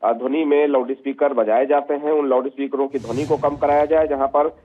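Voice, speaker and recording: A man giving a live, unscripted news report as a field reporter, halting and repetitive with stock phrases. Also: mid-range voice, steady tone, slight background noise. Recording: tinny phone-like live link.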